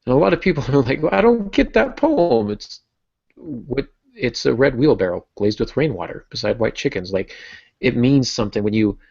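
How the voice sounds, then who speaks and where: A man's voice: mid-range voice, big pitch swings, normal speed, creaky, darkish tone, no echo. A man co-hosting a casual podcast discussion, speaking emphatically and repeating key phrases over an online call. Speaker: podcast co-host. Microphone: online video call. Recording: dull, lo-fi internet call audio.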